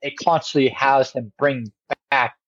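A man's voice: medium pitch, fairly even intonation, a little slow, fairly bright tone, no room sound, dull audio. A man co-hosting a podcast, chatting casually in a rambling way that doubles back on itself. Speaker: podcast co-host. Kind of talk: casual chat about an anime parody.

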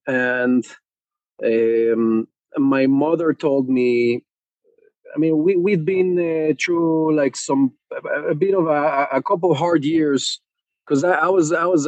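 A man's voice: fairly high pitch, no echo, lo-fi sound. Male guest, hesitant storyteller, very dry close sound. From Mexico. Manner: hesitant, many fillers and restarts. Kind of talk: conversational interview.

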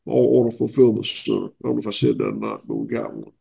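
Older man, Southern accent, head cold, gravelly, husky, folksy and halting, video call. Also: fairly low pitch, very animated, warm tone. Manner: folksy, plainspoken, halting with fillers. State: head cold, stuffy nose. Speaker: guest farmer. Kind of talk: casual interview.

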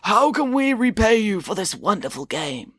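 A woman's voice: medium pitch, sing-song, moderate pace, husky, dry recording, studio quality. A woman voicing a grand, pompous old male Persian ruler in a storybook tale. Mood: gracious. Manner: pompous, proclaiming.

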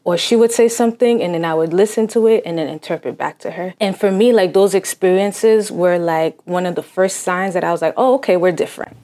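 A woman's voice: on the low side, brisk, few pauses, warm tone. A young woman from Massachusetts whose first language is Haitian Creole, speaking reflectively as an interview guest, with frequent fillers. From Cambridge, Massachusetts. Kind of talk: reflective casual conversation.

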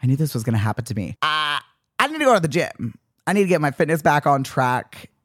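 A man's voice: on the high side, fast talker, no room sound, studio quality. Sassy young man hosting a podcast. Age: 20s. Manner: sassy, animated rants with mock-indignant quoted voices. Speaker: podcast host. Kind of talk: solo podcast monologue.

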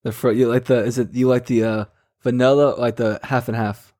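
A man's voice: mid-range voice, little pitch movement, a little fast, dark tone. A man hosting a casual phone interview with a teasing, bantering way of talking. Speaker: host of a call-in segment.

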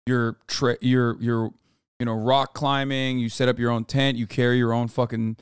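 A man's voice: mid-range voice, flat delivery, normal speed, clean tone, dry recording, studio quality. A man co-hosting a podcast, chatting casually, swearing freely and telling rambling stories with laughs. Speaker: podcast co-host. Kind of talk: casual chat.

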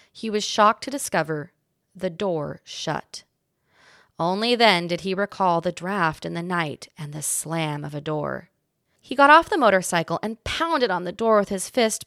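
A woman reading a children's chapter book aloud as a guest reader, with expressive storybook narration. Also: medium pitch, creaky, no echo, studio quality.

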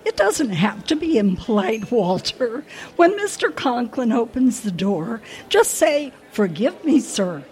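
Woman as dotty older lady, earnest, slow, kinda high singsongy delivery. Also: sing-song, a little mellow. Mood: coaxing. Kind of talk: old-time radio comedy acting.

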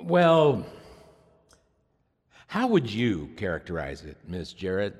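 An actor playing a pressing, condescending senator who questions a witness in formal, pointed phrases in an audio drama. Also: male voice, fairly low pitch, huge pitch swings, slow, exaggerated voice. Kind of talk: audio drama courtroom acting. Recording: long hall reverb.

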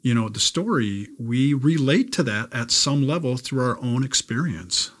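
A man's voice: medium pitch, expressive, moderate pace. A man talking casually as a podcast co-host, affirming his partner and acting out little imagined quotes. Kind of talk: casual conversational talk.

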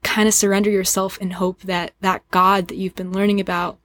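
Earnest young woman, thoughtful and halting. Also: mid-range voice, flat delivery, fairly bright tone. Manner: earnest, searching, halting as she works out thoughts. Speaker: podcast guest. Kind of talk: casual reflective conversation.

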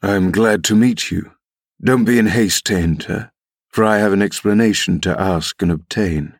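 A man reading as a menacing middle-aged gentleman villain in a classic novel, mocking, commanding and insinuating. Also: lowish voice, moderate pace, dry recording, clean studio sound. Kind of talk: audiobook character reading.

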